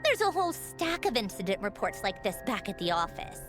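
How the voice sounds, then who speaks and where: A woman's voice: mid-range voice, sing-song, brisk, music in the background, natural voice. An actress playing a breezy, teasing female engineer in authority, in a theatrical, self-assured video game performance. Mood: brisk, matter-of-fact.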